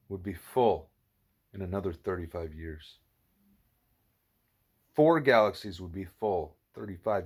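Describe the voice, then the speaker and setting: A man with a fairly low voice narrates a novel. His phrasing is measured and deliberate, and he stresses important words with some drama. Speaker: audiobook reader. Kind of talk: reading a novel aloud.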